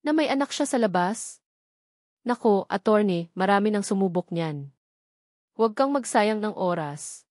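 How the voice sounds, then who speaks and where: A woman's voice: medium pitch, lively intonation, moderate pace, pauses often, darkish tone, no room sound, studio quality, lightly acted. Woman reading a sneering young male playboy heir. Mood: mocking. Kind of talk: dramatic storybook narration dialogue.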